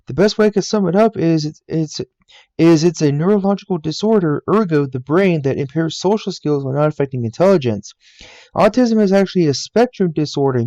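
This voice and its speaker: A man's voice: on the high side, dark tone, no echo, lo-fi sound. A man in his twenties from the United States, earnestly narrating a scripted voice-over about himself and his channel from a game room at home. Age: 20s. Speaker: YouTuber. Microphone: laptop mic.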